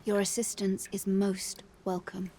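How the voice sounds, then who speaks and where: A grave female noble speaks slowly in a mellow, mid-pitched voice. Her delivery is formal and measured, in the manner of fantasy game dialogue. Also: completely flat delivery. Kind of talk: fantasy video game acting.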